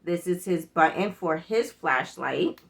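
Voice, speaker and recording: A middle-aged woman with a slightly low voice talks to her viewers in a casual, chatty way. She hosts a demonstration and circles back over her points to stress them. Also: a little slow, vocal fry. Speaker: prepper vlog host. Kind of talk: casual product demonstration chat.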